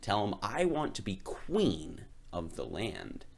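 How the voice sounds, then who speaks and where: A man voicing a demanding, never-satisfied fisherman's wife in a storybook, giving loud, curt orders. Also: fairly low pitch, wildly swooping pitch, slow, gravelly, exaggerated voice. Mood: imperious.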